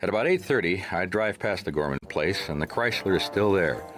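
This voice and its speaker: An actor playing a weary, wry private detective in a hardboiled radio drama, laconic and understated, both narrating and in dialogue. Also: male voice, deep voice, expressive, brisk, a bit bright, soft background music, poor audio. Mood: wry, watchful.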